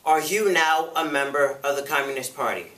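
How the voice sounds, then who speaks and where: A man's voice: on the high side, moderate pace, bright, forceful tone. A man performing a stern, insistent congressional interrogator in a dramatic recital, formal and pressing, recorded from afar in an echoey room. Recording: echoey room, picked up from far off.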